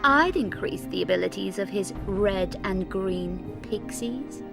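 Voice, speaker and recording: A woman's voice: mid-range voice, unhurried, vocal fry. An actress playing a vain, flirtatious and greedy noblewoman in a video game, with a sultry, theatrical drawl. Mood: seductive. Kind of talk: video game character acting. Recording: game music behind.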